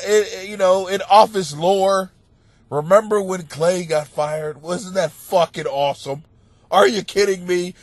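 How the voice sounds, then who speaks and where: A man and comic hosting a podcast, with a stuffed-up nose, telling stories in a profane, animated rant that breaks into shouting. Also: fairly high pitch, dry recording. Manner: animated, swearing, bursts into shouting. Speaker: stand-up comic and podcast host. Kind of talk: profane comedic rant monologue.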